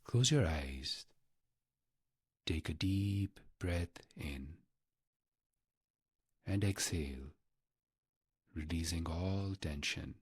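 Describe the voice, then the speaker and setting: A man guiding a meditation in a measured, reverent manner, pausing deliberately between short phrases. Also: low-pitched, animated, husky, dry recording, clean studio sound. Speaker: meditation guide. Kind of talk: guided meditation narration.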